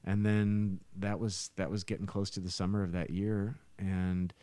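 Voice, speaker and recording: A middle-aged man with a very deep voice, recorded very dry. He is a talkative musician telling stories casually as a guest, rambling and circling back as he goes. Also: little pitch movement, moderate pace, mellow, clear, resonant tone, dry recording. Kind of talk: casual chat, storytelling.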